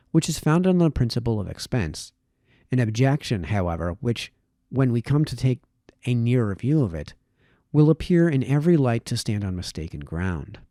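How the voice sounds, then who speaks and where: A man reading formal essays aloud as narration, with measured, emphatic phrasing and deliberate pauses. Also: medium pitch, mellow, no room sound, studio quality. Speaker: amateur reader of historical essays.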